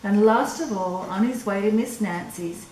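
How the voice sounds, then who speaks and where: An older woman reading a children's storybook aloud in a measured, expressive way. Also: medium pitch. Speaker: storyteller reading a picture book. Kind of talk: scripted storybook narration for children. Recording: roomy echo with clear background noise.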